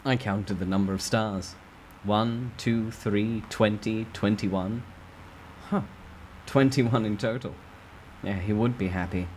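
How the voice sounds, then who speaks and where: A man's voice: on the low side, slow pace, a little mellow, a little hiss or hum, low-quality recording. A man reading a first-person story aloud as a dramatic storytime narration.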